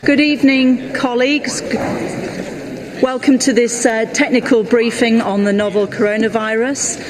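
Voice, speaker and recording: Halting woman opening a briefing, noisy recording. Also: a little high, fairly even intonation. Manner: halting, frequent hesitations. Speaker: official opening a technical briefing. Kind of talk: semi-formal press briefing introduction.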